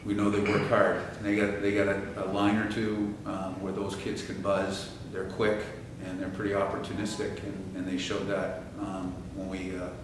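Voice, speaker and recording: A middle-aged man, a head coach, answering postgame questions with halting restarts and fillers. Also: low voice, monotone. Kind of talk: postgame interview. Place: press room at a table. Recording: echoey room from a distance, background hiss.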